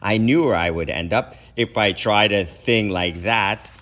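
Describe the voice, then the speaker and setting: A man's voice: fairly low pitch, unhurried, a bit bright. A man reading a children's storybook aloud expressively, as a parent would for kids.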